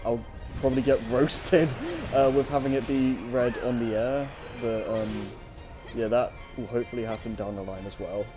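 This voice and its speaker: A man co-hosting a show, chatting casually and unscripted in a hesitant, halting way. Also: mid-range voice, fairly even intonation, over music. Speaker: co-host of a story-reading show. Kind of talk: casual unscripted chat over gameplay.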